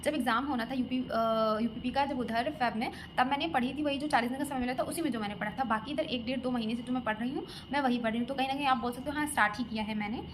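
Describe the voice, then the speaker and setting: A woman's voice: fairly high pitch, fast, hardly any pauses. A young woman from Lucknow, vlogging casually as she answers viewer comments about her exam preparation, talking in a chatty, hesitant way with many fillers and restarts. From Lucknow, India. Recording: audible background noise.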